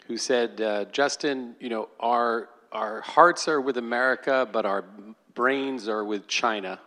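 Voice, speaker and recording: A man's voice: medium pitch, sharp tone. A man who founded a company, asking a question at a public forum in a casual, hesitant way. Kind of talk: conversational audience question. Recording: hall reverb.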